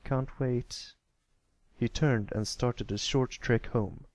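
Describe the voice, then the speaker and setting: A man narrating a story as an amateur audiobook reader, in an expressive scripted reading style. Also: mid-range voice, unhurried, no room sound.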